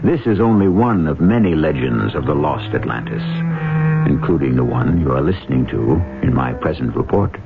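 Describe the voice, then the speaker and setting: A man's voice: very deep voice, lively intonation, normal speed, gravelly. A man hosting an old-time radio mystery drama, narrating in a grave, theatrical, portentous manner. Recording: muffled old radio recording, music behind.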